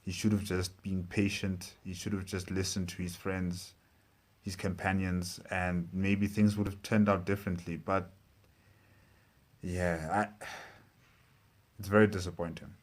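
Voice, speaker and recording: A man giving casual reaction commentary as a show host, speaking haltingly and repeating phrases. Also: low voice, level tone.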